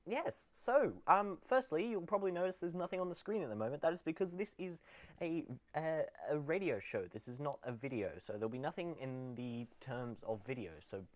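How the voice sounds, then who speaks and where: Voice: medium pitch, expressive, fairly quick. A teenager introducing an amateur online radio show as its host, chatting informally. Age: teens. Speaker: podcast co-host.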